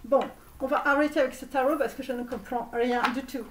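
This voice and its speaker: A woman playing a comic online tarot reader with a put-on Romanian accent, insistent and repetitive, halting theatrically as she reassures her viewers. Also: high-pitched, fairly even intonation, background noise, lightly acted. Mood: pushy, reassuring. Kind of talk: parody tarot reading spoken to viewers. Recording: roomy echo, distant microphone.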